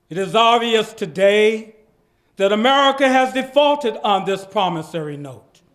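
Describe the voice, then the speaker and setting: Male reader as a civil rights orator: solemn, declamatory, very high-pitched for a man, slow drawn-out phrasing. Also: a bit bright, full character voice. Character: impassioned male civil rights orator addressing a great crowd. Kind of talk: dramatic reading of a 1960s oration.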